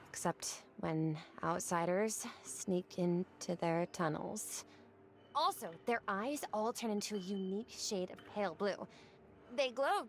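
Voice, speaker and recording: A woman's voice: medium pitch, a little hiss or hum, close to an everyday voice. An actress playing a naive, bookish young woman in a 1930s-set game, earnest, precise and a little hesitant.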